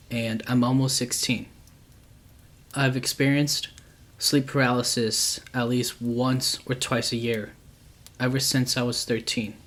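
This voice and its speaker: Man, storyteller, calm, unhurried, monotone, mid-pitched. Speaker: storyteller, channel host. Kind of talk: reading true scary stories aloud.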